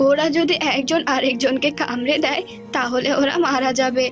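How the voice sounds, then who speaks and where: A solemn royal healer in a cartoon fairy tale voiced by a woman. The voice is high yet grave and scheming, laying out instructions over soft music. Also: steady tone, moderate pace, natural voice.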